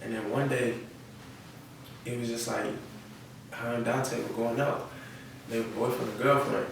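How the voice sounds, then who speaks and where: A man's voice: mid-range voice, fairly even intonation, measured pace, vocal fry. A young man talking casually and slangily in a podcast interview. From North Carolina, United States. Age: young adult. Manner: slangy, often checks with "you feel me". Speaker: rapper being interviewed. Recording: echoey room, distant mic, noisy.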